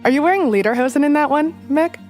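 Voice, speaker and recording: A woman's voice: high-pitched, expressive, quick pace, darkish tone, faint music behind. A woman hosting a podcast, telling the story in a conversational, lightly wry scripted narration and putting questions to her guests. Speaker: podcast host. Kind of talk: scripted narration mixed with interviewing.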